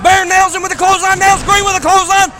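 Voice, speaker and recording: A man calling indie wrestling matches with shouted, hyped play-by-play while losing his voice. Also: extremely high pitch, level tone, fast. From Kentucky. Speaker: wrestling commentator. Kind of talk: excited play-by-play commentary. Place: indie wrestling event venue. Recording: loud crowd and arena music behind.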